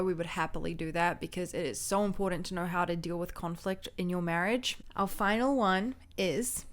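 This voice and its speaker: A young woman with a low, loud voice, co-hosting a casual marriage advice chat and telling stories animatedly. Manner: animated storytelling, acts out voices and quotes with laughs. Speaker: co-host of a marriage advice channel.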